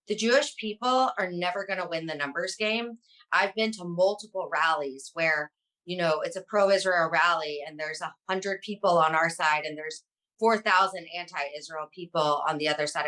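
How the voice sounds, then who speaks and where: Woman, 30s, interview guest: low, bright voice, passionate, filler-heavy delivery. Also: poor audio. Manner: passionate, fluent, many ums. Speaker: guest, social media advocate. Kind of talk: earnest interview answers.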